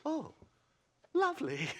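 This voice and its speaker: A man playing an eccentric, pompous Old West scholar in a video game, condescending and excitable, with a stammering, affected delivery. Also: very high voice, slow, heavily acted. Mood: flustered, condescending. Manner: stammering, effusive, giggly, affected. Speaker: professor. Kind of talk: theatrical video game character acting.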